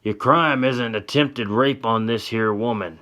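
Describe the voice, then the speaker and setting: A man's voice: mid-range voice, a little slow, bright, forceful tone, pitched above the performer's own voice. A man voicing a stern frontier marshal in a told story, speaking bluntly and with command.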